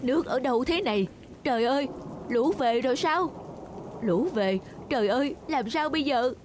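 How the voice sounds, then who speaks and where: A woman's voice: high-pitched, expressive, normal speed, background noise. A woman voicing a selfish, scheming cow in a children's cartoon fable, wheedling and theatrical. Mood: panicked. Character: scheming, selfish cow with a sweet-talking manner.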